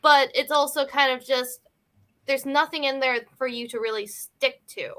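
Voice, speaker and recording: Bright, higher-pitched woman chatting. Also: fairly even intonation, moderate pace. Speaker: panelist on a film review show. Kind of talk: casual film discussion.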